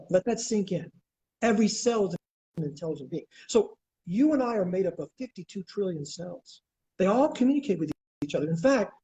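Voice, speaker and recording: A man in his sixties, born in Greece, speaks as an interview guest. His voice sits high and has a mellow, slightly husky quality. He explains in an earnest, lecturing way, building one point on the next. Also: rough recording.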